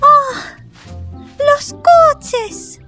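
A woman's voice: very high voice, very slow, sharp tone, soft background music, heavily acted. A woman voicing a small, sweet little girl in a children's cartoon, with simple childlike phrasing. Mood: upset. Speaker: supporting character in a children's cartoon.

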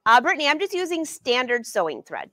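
A woman's voice: high-pitched, big pitch swings, fast talker, fairly bright tone, no room sound, clean studio sound. Upbeat woman teaching a live sewing class. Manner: chatty and upbeat, addresses viewers by name. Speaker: sewing educator and brand ambassador. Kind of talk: live-streamed sewing lesson answering viewer questions. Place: sewing studio indoors.

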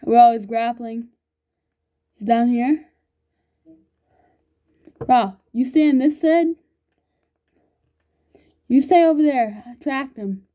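A woman chatting excitedly while playing an online game with a friend, laughing often and calling everyone bro. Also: a little high, slow pace, long pauses. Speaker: gamer recording gameplay. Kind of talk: excited gaming banter with a friend.

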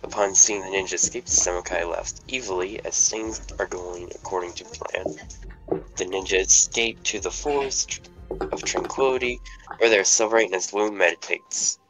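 A man's voice: deep voice, moderate pace, poor audio. A man reading the stage directions of a fan table read aloud, stumbling over words now and then. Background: background music.

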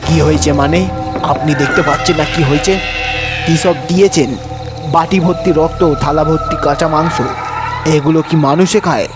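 A man's voice: a little high, fairly even intonation, moderate pace, close to an everyday voice. A man playing a frightened diner who recounts a haunted hotel in a dramatised horror audio story. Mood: alarmed. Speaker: story narrator and lead actor. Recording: music bed under the voice.

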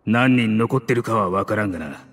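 A man voicing a loyal, gruff mercenary squad leader in a game, speaking in a commanding tone. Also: lowish voice, expressive, brisk, fairly bright tone. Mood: steady. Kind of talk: Japanese game voice acting.

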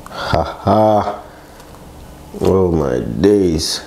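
A man's voice: low-pitched, lively intonation, very slow, creaky, a little mellow, a little hiss or hum. A man, casually talking viewers through a recipe step by step, with short chatty exclamations. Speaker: cooking show host, chef. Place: indoor modern home kitchen.

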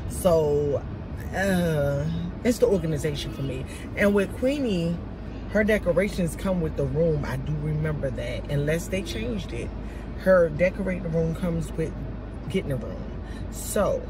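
Chatty woman vlogging in her car. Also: deep voice. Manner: chatty, emphatic, addresses viewers as y'all and honey. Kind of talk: casual vlog talk to viewers. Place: parked car. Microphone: phone camera held at arm's length. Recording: noisy car and outdoor background.

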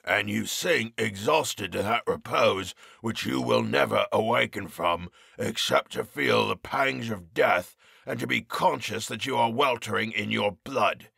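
A man voicing a hideous male jailer with a harsh, croaking voice and a slow, jeering delivery. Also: fairly low pitch, bright, forceful tone, dry recording, clean studio sound, full character voice. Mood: menacing.

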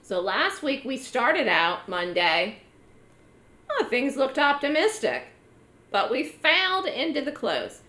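A hoarse woman giving a live online trading lecture to her trading room, chatty and repeating words for emphasis. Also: medium pitch, sharp tone, echoey room. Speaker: trading educator running a trading room.

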